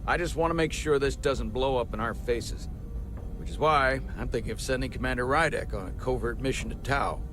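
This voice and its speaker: Video game captain, male actor: authoritative, formal, steady mid-range voice with music underneath. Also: mid-range voice, fairly quick, natural voice. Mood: grave, resolute. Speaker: starship captain giving mission orders. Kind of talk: video game dramatic acting.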